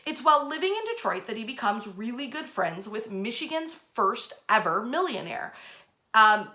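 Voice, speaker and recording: Bright-voiced woman telling history stories. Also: fairly high pitch, big pitch swings, moderate pace. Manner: emphatic, repeats phrases for effect. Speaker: host, storyteller. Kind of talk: conversational history storytelling.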